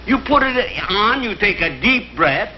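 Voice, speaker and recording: A harried comic Italian papa, speaking exaggerated broken English in a mock accent. His voice sits high and jumps around in pitch. It is an old, dull TV recording with clear noise and audience. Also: big pitch swings, a little slow. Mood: exasperated. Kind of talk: broad television sketch comedy acting. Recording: muffled noisy 1960s TV audio, audience.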